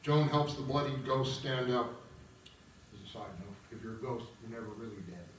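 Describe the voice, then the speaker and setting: A man's voice: medium pitch, steady tone, normal speed, slight background noise. A man reading stage directions aloud as the narrator of a musical table read. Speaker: narrator and singer of a self-written opera. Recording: distant, very echoey room with music.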